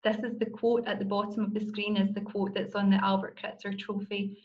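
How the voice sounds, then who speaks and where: A woman's voice: mid-range voice, little pitch movement, fairly quick, a little mellow, lo-fi sound. A middle-aged Scottish woman, a law professor and moot court coach, giving an enthusiastic, personal talk on a conference panel over a video call. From Scotland.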